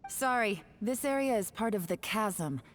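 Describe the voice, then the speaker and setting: A woman's voice: mid-range voice, lively intonation, measured pace, lightly acted. A woman voicing a cool, self-assured female agent in a video game, composed and dry with a subtle menace. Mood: cool, firm.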